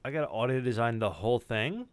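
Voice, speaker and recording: Guy chiming in on a group stream, animated, other voices around him. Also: mid-range voice, fast, crowd noise. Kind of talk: casual chat.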